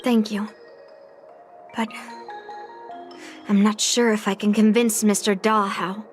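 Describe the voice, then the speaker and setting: A woman's voice: mid-range voice, flat delivery, slow, natural voice. A woman voicing a determined, earnest young woman in a video game, thinking through her situation aloud. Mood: pondering. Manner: earnest, pondering. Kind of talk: scripted video game dialogue acting. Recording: music behind the voice.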